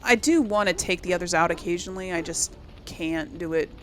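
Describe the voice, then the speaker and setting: A woman's voice: lowish voice, a little fast, slight background noise. A woman giving casual let's play commentary as host, rambling as she thinks aloud and corrects herself. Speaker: gaming video host.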